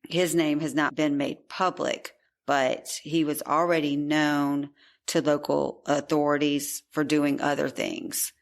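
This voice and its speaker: Woman, low voice, true crime podcast co-host, chatty case recap. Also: level tone, a little slow, vocal fry. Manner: chatty, laughs easily. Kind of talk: reading true crime news in a conversational way.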